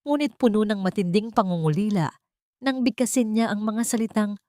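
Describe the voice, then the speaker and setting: A woman's voice: mid-range voice, normal speed, darkish tone, no room sound, clean studio sound. A woman narrating a scripted audio drama in a solemn, melodramatic storytelling manner.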